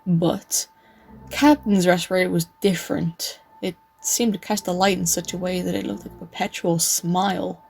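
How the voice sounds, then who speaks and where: A woman plays a world-weary wasteland tour guide, a sardonic man narrating his own story in a comic dub. The voice is fairly low, dry and brooding, with some music underneath. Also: creaky, a little mellow, rough recording. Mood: dry, reflective. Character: weary, sarcastic male tour guide surviving nuclear wasteland.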